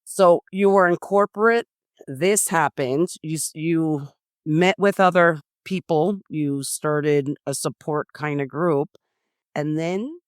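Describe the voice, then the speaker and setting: A man's voice: on the high side, takes it slowly, clean tone, no room sound, studio quality. A man hosting a podcast, asking questions in a casual, conversational way. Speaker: podcast host.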